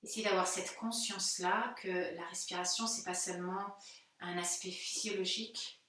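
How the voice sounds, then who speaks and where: A woman, a yoga teacher giving calm, unhurried and soothing guided relaxation instructions. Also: on the low side. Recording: very echoey room, distant microphone.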